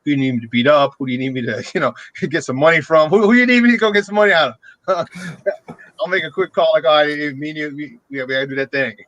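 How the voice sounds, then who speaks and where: A man's voice: a little high, lively intonation, fast talker, a bit bright, no echo. A man co-hosting a casual sports talk show, laid-back, saying man a lot and laughing at his own jokes. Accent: Chicago. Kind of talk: casual radio show banter.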